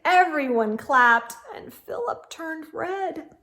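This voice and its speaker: High-voiced, slow-paced woman reading a children's story. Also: a little hiss or hum. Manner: deliberate, expressive. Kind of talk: expressive read-aloud narration for children.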